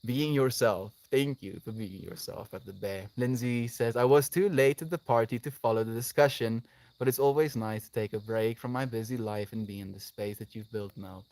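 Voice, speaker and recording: A man's voice: medium pitch, moderate pace, a little mellow, no room sound, low-quality recording. Male livestream host, effusive and affectionate, casual delivery, close dry sound. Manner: effusive, affectionate, calling listeners "friend". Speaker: live streamer, host. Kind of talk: casual livestream chat, responding to viewer comments.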